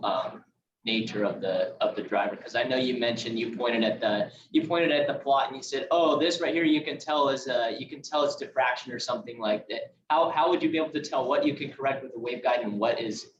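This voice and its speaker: A young man asking a technical question in a casual, tentative, halting way. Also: mid-range voice. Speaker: student audience member asking a question. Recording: distant, echoey, muffled, noisy room microphone.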